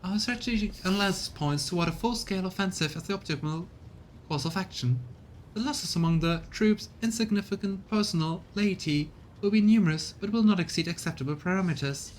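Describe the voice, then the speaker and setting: A man voicing a cold, calculating machine strategist with clinical, formal delivery, as in a game, over faint rumbling noise. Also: brisk, lightly acted, poor audio. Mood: detached. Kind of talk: video game character reading.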